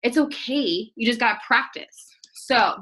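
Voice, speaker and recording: A woman in her thirties talks through a team training, with a bright, forceful tone and a fairly high pitch. She rambles a little, leans on "like" and restarts, and keeps her words earnest and encouraging. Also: measured pace, low-quality recording. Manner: chatty, filler-heavy, rambling. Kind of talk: casual training talk.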